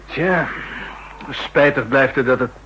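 An actor playing a suspicious, quietly authoritative army general in a 1960s Dutch radio drama, husky and measured, weighing his words. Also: mid-range voice, slight background noise, low-quality recording.

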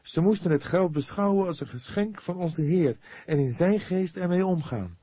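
A man's voice: high voice, moderate pace, dark tone, breathy edge, no room sound, pitched above the performer's own voice. Audiobook role: pious, earnest young woman, played by a male narrator, voice lifted well up, solemn delivery, close dry sound. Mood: devout, earnest. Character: devout, earnest, grief-stricken young woman.

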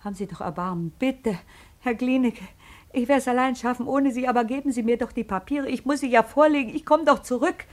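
A woman's voice: high-pitched, fairly quick, a little mellow, natural voice. An actress playing a tenacious, desperate woman, insistent and pleading, in a radio drama. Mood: desperate begging. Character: desperate, tenacious, driven woman chasing a fortune. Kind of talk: German radio drama acting.

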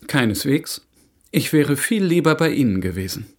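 A man playing a courteous, inquisitive gentleman bachelor and reserved suitor in a German radio drama. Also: fairly high pitch, very animated, moderate pace. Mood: probing, restrained.

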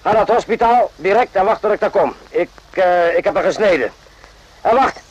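A man's voice: high-pitched, lively intonation, normal speed, muffled recording. A man acting in a radio drama as an irritable, bullying army sergeant who curtly barks questions. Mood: impatient. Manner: curt, impatient, barking questions.

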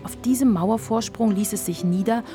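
A woman narrating a scripted children's story in a measured, clearly articulated storytelling manner. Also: on the high side, over music.

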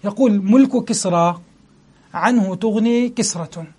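A man teaching a religious lesson on a classical poem, preaching with passion, asking rhetorical questions and slowing down to recite verses. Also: sits high, a little mellow, husky. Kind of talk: religious lesson explaining poetry.

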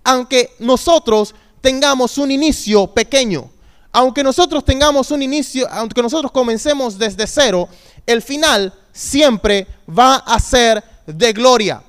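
A man's voice: very high-pitched, a little slow, a bit bright, clear, resonant tone. A man in his thirties, a youth pastor, preaching a sermon with call-and-response and emphatic repetition. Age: 30s.

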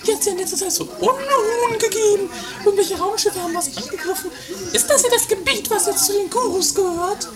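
An extremely high-pitched, flighty woman played in travesty by a man teases and dramatizes her way through a trashy improvised radio comedy. The amateur eighties recording has voices crowding in behind. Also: brisk, hardly any pauses, a crowd in the background. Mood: teasing. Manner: dramatic, mocking. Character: flighty, teasing woman Mathilde, aspiring comedienne.

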